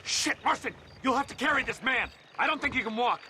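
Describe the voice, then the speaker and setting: A man's voice: very high voice, brisk, sharp tone, slight background noise, exaggerated voice. A man playing an alarmed government lawman in a video game, calling out urgently in a raised voice. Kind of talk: video game character acting.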